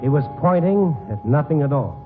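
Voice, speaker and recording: An actor playing a wry, steady hard-boiled newspaperman who narrates a 1950s radio noir drama. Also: a little high, normal speed, over loud music, natural voice. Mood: tense, wry narrating. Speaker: narrator and lead character. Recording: narrow-band old 1950s radio broadcast recording.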